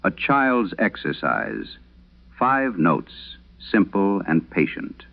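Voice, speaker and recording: A male actor as a haunted old Irish priest confessing in a horror radio play. His low voice is gravelly and grave, rising and falling dramatically as he speaks in measured, solemn phrases. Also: wildly swooping pitch, heavily acted. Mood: dread, brooding. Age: older. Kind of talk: dramatic first-person horror radio monologue. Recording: dull lo-fi vintage radio sound.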